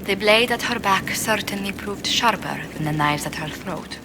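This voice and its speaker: An actress playing a refined, wistful noblewoman diplomat in a video game, speaking in a formal, measured way. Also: mid-range voice, lively intonation, background noise, lightly acted. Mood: composed intrigue. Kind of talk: video game character dialogue.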